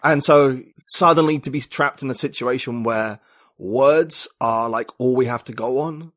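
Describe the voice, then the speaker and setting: A man's voice: medium pitch, a little slow, fairly bright tone, no room sound, clean studio sound. A man, a podcast guest talking reflectively and searching for his words in casual conversation.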